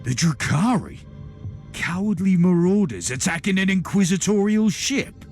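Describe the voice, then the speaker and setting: Haughty inquisitor, gravelly fry, lively intonation, dry, measured, commanding, video game drama. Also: male voice, high-pitched, soft background music.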